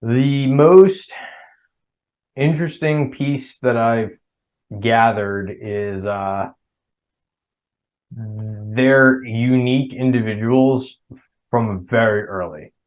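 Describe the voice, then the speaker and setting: A middle-aged man with a New Jersey accent talks in a loose, rambling way and keeps restarting his sentences. His voice sits at a mid pitch, and this is relaxed podcast conversation. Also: very slow, pauses often, low-quality recording. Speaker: podcast guest. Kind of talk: casual chat.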